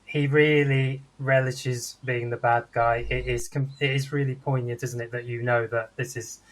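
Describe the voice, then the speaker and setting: A man's voice: mid-range voice, level tone, moderate pace, rough recording. An older man chatting casually as a panellist on a film review.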